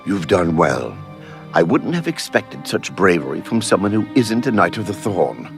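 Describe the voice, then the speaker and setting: Pompous young knight, wildly swooping delivery. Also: male voice, sits low, very animated, moderate pace, creaky, exaggerated voice. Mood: grandly solemn. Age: young adult. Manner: pompous, grandiose, theatrical declaiming. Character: brash, pompous young knight, count's vain son. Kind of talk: fantasy video game acting. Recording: music behind the voice.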